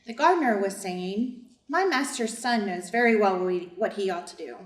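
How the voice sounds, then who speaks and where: Woman acting loyal nursemaid storyteller, expressive, mid-pitched, far from the mic, echoey room. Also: moderate pace, close to an everyday voice. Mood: indignant. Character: loyal, gossiping household nursemaid, sorrowful storyteller. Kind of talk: reader's theater narration.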